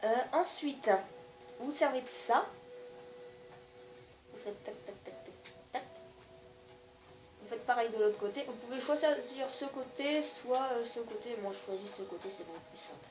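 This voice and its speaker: A woman with a slightly high voice, stuffy from a cold, walks through a building tutorial in hesitant, stop-start steps. Her voice rings in an echoey room. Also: animated, normal speed, pauses often. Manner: hesitant, step-by-step instructions with many fillers. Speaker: gaming video creator. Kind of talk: building tutorial over gameplay.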